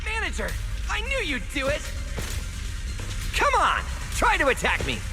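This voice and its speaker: A woman's voice: high voice, wildly swooping pitch, a little slow, exaggerated voice. A woman voicing a spirited, goading female fighting champion in a video game. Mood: exhilarated, goading. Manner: bold, goading. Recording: very loud game music behind.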